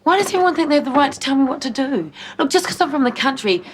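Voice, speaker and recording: A headstrong young country woman. Her voice sits in a middle register and swings widely in pitch. She talks back defiantly. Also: animated, rapid-fire, a little hiss or hum. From rural New Zealand. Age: young adult. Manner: defiant, defensive. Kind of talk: 1990s TV soap acting.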